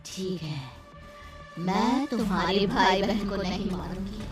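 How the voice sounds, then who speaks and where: A woman's voice: mid-range voice, slow, recorded from a distance, over music, close to an everyday voice. A woman voicing a menacing, vengeful female ghost in a cartoon horror story, stretching out her threats. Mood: cold threatening. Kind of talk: animated horror story acting.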